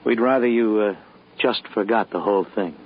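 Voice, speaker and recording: An actor playing a firm, businesslike American federal investigator, curt and commanding, in a 1950s radio drama. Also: male voice, lowish voice, normal speed, slightly rough. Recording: dull vintage radio recording.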